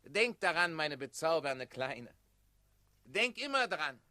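A man playing a cold, ambitious mayor's son and official in a 1960s German radio play, crisp, commanding and self-assured. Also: on the high side, sing-song, slow, bright, forceful tone, dry recording, clean studio sound, full character voice. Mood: boastful, commanding.

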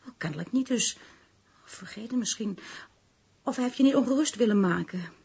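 A concerned, gossipy woman in a radio play. Her voice is very husky and breathy, a little high, and she lingers dramatically over what she confides. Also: expressive, normal speed, a little mellow, heavily acted. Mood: agitated, probing.

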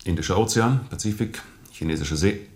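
A male actor plays a cool interrogator in a radio drama, with a low-pitched voice. He is formal and probing, pressing on insistently with his questions. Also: a little fast, lightly acted. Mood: coolly probing. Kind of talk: German radio play acting.

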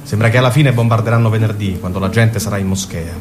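A man's voice: on the low side, fast, lightly acted. An actor playing a lovesick young Gazan man, reading out love letters in a tender, intimate way in a radio drama. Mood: sorrowful, devoted. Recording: guitar music under the voice.

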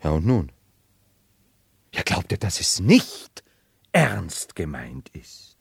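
Deep-voiced, brooding mad emperor. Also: male voice, big pitch swings, slow, gravelly, husky, no echo, clean studio sound, full character voice. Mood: scornful, insistent. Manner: theatrical, swinging from hushed murmurs to forceful outbursts. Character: brooding, imperious man playing a mad medieval emperor, bitterly lucid. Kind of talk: 1970s German radio drama acting.

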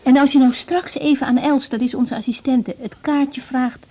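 An actress playing a brisk, composed young doctor in a naturalistic radio drama. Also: female voice, sits high, fairly even intonation, dark tone. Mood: calm, professional. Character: young female doctor, brisk, composed, weary of family trouble. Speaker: general practitioner in a radio serial.

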